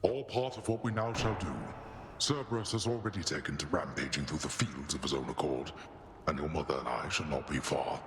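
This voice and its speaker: Casting: stern, brooding father god of the dead, extremely deep, forceful voice, slow formal delivery, hall reverb. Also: background noise. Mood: grave. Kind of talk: video game dramatic acting. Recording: echoey hall reverb with music behind.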